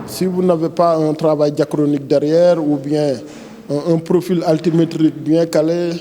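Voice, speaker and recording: A man's voice: on the high side, level tone, normal speed, noisy background. A man with a Senegalese accent explaining things in an interview as an agency director, hesitating and restarting his phrases. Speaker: director general of a planning agency.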